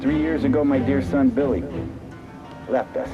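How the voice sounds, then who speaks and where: Game role: male actor as grandiose politician, fifties, unhurried public oratory, dulled sound with music underneath. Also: medium pitch, steady tone, close to an everyday voice. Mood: solemn, resolute. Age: 50s. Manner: grandiose, deliberate. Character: proud fifty-year-old male politician addressing his party guests. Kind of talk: rousing public speech. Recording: muffled, loud music behind.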